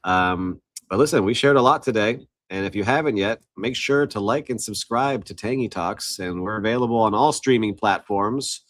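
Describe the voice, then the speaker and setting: A man's voice: fairly low pitch, moderate pace, fairly bright tone, no echo. A man co-hosting a casual business podcast, upbeat and promotional, coming down with a cold. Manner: upbeat, promotional, joking asides. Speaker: podcast co-host. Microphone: studio mic.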